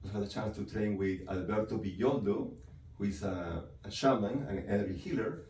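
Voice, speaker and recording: A man's voice: fairly low pitch, moderate pace, darkish tone. A man from Peru, a psychiatrist explaining his work in an interview, often tagging sentences with "right?" and "you know". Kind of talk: interview answers. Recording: echoey room, mic from a distance, faint noise.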